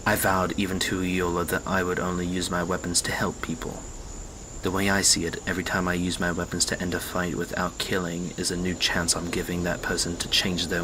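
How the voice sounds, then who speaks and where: An actor playing a brooding reformed assassin who leads a resistance, speaking in a grave, world-weary, measured way in an audio drama. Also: male voice, low voice, background noise, lightly acted.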